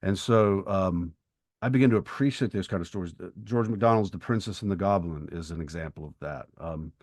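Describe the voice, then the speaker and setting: A middle-aged man with a deep voice speaks in a very dry recording. He co-hosts a literary podcast and talks reflectively, often stopping to rephrase and work out his thought as he goes. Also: a little fast, a little mellow, no echo. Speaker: podcast co-host and writer.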